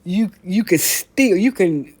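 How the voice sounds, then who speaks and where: Low-voiced American woman, blunt casual storyteller. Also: slow pace, slightly rough. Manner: animated, blunt, colloquial, acts out quotes and repeats phrases for emphasis. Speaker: talk show host. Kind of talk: casual comedic rant with storytelling.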